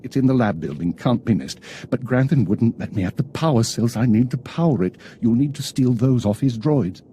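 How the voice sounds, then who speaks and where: An actor playing a nervous, cowardly inventor in a video game, anxious and pleading. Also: male voice, mid-range voice, expressive, moderate pace, mellow, slight background noise, lightly acted.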